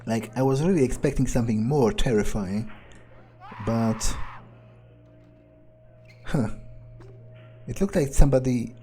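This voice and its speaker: Man, dark relaxed tone, hesitant thinking-aloud roleplay, nonchalant, gaming playthrough, faint music behind. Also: medium pitch, measured pace, long pauses. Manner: nonchalant, musing, hesitant phrasing. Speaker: gamer doing an in-character playthrough. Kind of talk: improvised in-character game commentary, thinking aloud.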